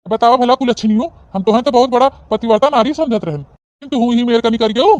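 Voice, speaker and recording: A man's voice: extremely high pitch, fast talker, darkish tone, no echo, muffled recording. A grumbling old father-in-law from a village cartoon, acted by a man. He is bossy and blustery, scolding everyone in a rustic Awadhi accent. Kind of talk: Awadhi comedy cartoon dubbing.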